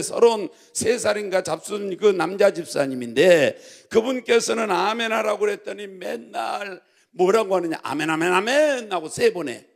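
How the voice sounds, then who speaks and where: Male pastor, high creaky voice, exhortatory and repetitive call-and-response sermon delivery. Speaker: pastor preaching to congregation.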